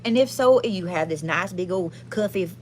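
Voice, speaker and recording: A woman's voice: fairly low pitch, lively intonation, moderate pace, a little hiss or hum, lightly acted. A woman playing a brassy, foul-mouthed but kindhearted trailer park fortune teller with a Southern US accent, chatting folksily through a live card reading. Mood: earnest, encouraging. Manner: folksy, sweary, chatty, calls listeners honey and y'all. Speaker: livestream tarot reader. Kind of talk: casual comic live card reading in persona.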